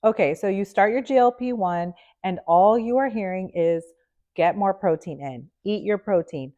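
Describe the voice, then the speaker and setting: A woman's voice: medium pitch, moderate pace, mellow, muffled recording. A woman nutritionist vlogging to camera, upbeat and chatty, with a nasal sound from a cold, checking in with "okay?". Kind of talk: direct-to-camera health vlog.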